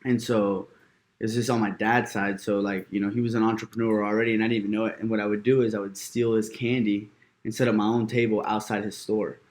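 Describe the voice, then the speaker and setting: A young man in his twenties, from Georgia, telling his story in a relaxed and slangy way. His voice sits on the low side, and he keeps tossing in filler words and calls the host bro. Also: fast talker. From Gainesville, Georgia, USA. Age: 20s. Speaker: guest. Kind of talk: casual interview.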